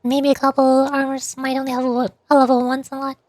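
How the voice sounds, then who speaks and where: A woman's voice: high voice, completely flat delivery, fairly quick, darkish tone, dry recording. A woman livestreamer chatting casually with her viewers in a playful, mock-indignant way. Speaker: VTuber livestreamer.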